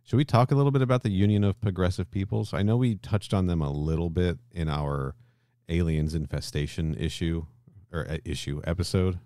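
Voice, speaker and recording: Very deep-voiced man chatting on a podcast. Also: fairly quick, dark tone, no room sound, studio quality. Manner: casual swearing, frequent check-in questions. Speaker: podcast co-host. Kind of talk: casual film discussion podcast.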